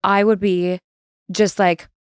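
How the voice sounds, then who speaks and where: A woman in her thirties from California talks casually as a podcast guest. She is sassy and quick with asides, and she laughs often. Her voice sits at a middling pitch in a dry, close recording. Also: level tone, clean tone, dry recording. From California, USA. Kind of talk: casual chat.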